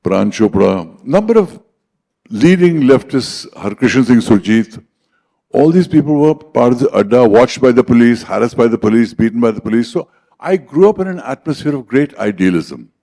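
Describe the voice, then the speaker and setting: A man's voice: medium pitch, lively intonation, moderate pace, dark tone. An older man with an Indian English accent, telling stories about his life as a conference speaker. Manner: anecdotal, switches into Hindi for quoted dialogue. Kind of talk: personal storytelling.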